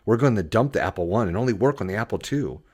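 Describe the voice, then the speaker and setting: A man's voice: fairly low pitch, animated, quick pace, darkish tone, slightly rough. A man voicing an eager, brash young male computer entrepreneur in an audiobook, talking emphatically like a pushy salesman. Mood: proud, eager.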